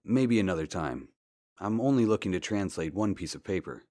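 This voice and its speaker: An actor playing a polite, earnest amateur investigator in an adventure game, speaking in a courteous, measured way. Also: male voice, deep voice, expressive, dark tone. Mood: businesslike. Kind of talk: scripted point-and-click game dialogue. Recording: music under the dialogue. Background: background music.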